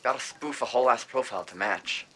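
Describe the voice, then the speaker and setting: A man's voice: medium pitch, quick pace, bright, forceful tone, slightly rough. A man playing a harried, irreverent hacker in an audio drama, talking in a casual, slangy way. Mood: harried, focused. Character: harried, irreverent male tech hacker feeding hurried instructions.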